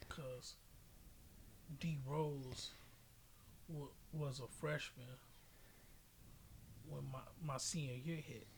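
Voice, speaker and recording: A man's voice: mid-range voice, fairly even intonation, very slow, gravelly, long pauses. An American man from Chicago talking sports casually, explaining his opinions with examples. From Chicago, United States. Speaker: podcast host. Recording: noisy amateur podcast audio.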